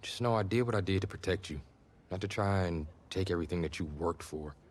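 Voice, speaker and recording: An actor playing an earnest young quarterback, conciliatory yet proud, in scripted video game dialogue. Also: male voice, low-pitched, fairly quick, slight background noise, lightly acted. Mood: apologetic. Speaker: actor in a football game's story scenes.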